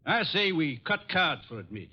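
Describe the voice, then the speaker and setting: A husky, animated male voice playing a calculating business partner. The delivery is cool 1940s radio acting, on a muffled vintage recording. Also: a little high, moderate pace. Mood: coolly decisive.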